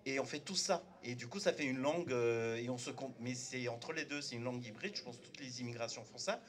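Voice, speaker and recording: Man from Turkey, native Turkish; unscripted debate remark, halting, filler-heavy, mid-range voice. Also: mid-range voice, fairly bright tone. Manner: hesitant, lots of fillers and restarts. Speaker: audience member speaking up.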